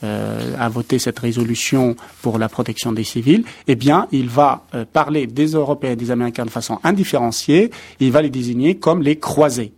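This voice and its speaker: Measured academic man explaining. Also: mid-range voice, vocal fry, a little mellow. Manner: measured, academic, with frequent hesitations and restarts. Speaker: expert guest on translation. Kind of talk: explanatory interview answers.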